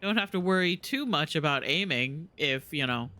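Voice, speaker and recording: A man's voice: on the high side, lively intonation, normal speed, pushed and bright, dry recording. A man giving casual live gameplay commentary as a streamer, affectionate and gushing, close on the microphone. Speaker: video game streamer.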